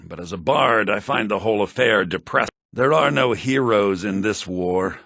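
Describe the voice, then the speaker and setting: A man's voice: on the low side, animated, normal speed, gravelly, a bit bright, no room sound, clean studio sound, heavily acted. An actor playing a smug, sly townsman in a fantasy video game, with a sardonic, self-important and theatrical delivery. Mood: somber, matter-of-fact.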